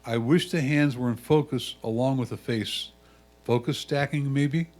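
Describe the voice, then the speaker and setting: A man's voice: medium pitch, moderate pace, a little mellow. A man reading a judge's written comments aloud as a critique.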